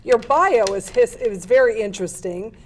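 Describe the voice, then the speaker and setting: Woman host gently prompting her guest. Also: on the high side, moderate pace, slight background noise. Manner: encouraging, prompting questions with short affirmations. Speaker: talk show host. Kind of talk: community TV interview.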